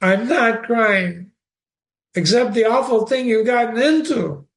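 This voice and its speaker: A man's voice: very animated, moderate pace. A man voicing a tearful, indignant young woman, a Scottish nurse who scolds and sobs. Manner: shrill, scolding, sobbing.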